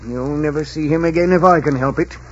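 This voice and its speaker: A man's voice: fairly high pitch, fairly quick, natural voice. An actor playing a worried, talkative old innkeeper and father in a 1940s radio drama, fond and plain-spoken in manner. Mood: worried, pleading. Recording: muffled, faintly noisy 1940s radio recording.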